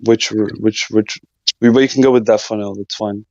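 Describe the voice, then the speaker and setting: Lowish man debating, defensive, repeats himself, dry muffled sound. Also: steady tone, normal speed, gravelly, darkish tone, dry recording. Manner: defensive, repeats words when pressed. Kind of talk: casual debate.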